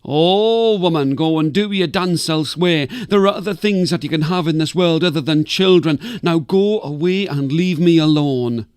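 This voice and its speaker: A man's voice: mid-range voice, sing-song, takes it slowly, no echo, studio quality. A man voicing a grumpy, sleepy old wise woman who scolds impatiently. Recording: guitar music behind the voice.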